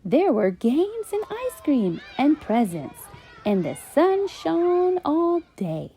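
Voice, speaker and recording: A woman reading a children's bedtime story in an expressive, sing-song storytelling manner. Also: high-pitched, slow, slight background noise, low-quality recording.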